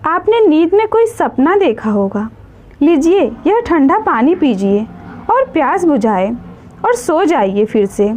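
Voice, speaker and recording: A woman voicing a shy, devoted young wife in a story, soft-spoken, deferential and pleading. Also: sits high, sing-song, unhurried. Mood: nervous, reassuring.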